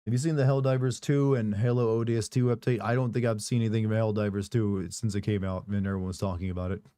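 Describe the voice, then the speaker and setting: A hoarse man, his voice still coming back, rambles casually through a live stream, reading viewer messages and tossing off jokes. His tone is dark and mellow. The sound is dry and close, with no room echo. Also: fairly low pitch, fairly even intonation, quick pace. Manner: rambling, casual, joking asides. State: hoarse, recovering voice.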